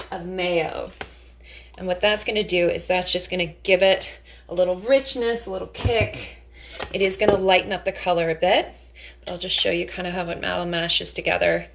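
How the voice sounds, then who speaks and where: A woman's voice: fairly low pitch, background noise. A woman giving a casual cooking demonstration in a chatty, theatrical, playfully enthusiastic way.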